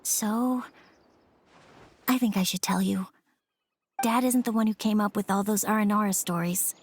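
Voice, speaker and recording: A woman playing an earnest, gentle young daughter in a video game, speaking in a childlike, hesitant and sincere way. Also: mid-range voice, fairly even intonation, normal speed, a little hiss or hum, close to an everyday voice. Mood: wistful confession.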